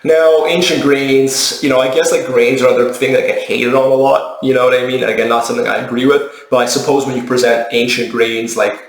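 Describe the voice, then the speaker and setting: Male dietitian presenter, mid-pitched, conversational and opinionated, little pitch variation, casual explainer style. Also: fairly even intonation, brisk, few pauses. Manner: conversational, matter-of-fact, opinionated. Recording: roomy, echoey indoor sound.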